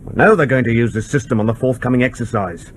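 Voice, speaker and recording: A combative civilian expert, played by a man, blunt and insistent in a mid-pitched voice. He argues his points forcefully, in radio drama style. Faint ambient noise sits behind the voice. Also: quick pace, natural voice. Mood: urgent, insistent. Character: combative, blunt civilian surveillance specialist aboard a submarine.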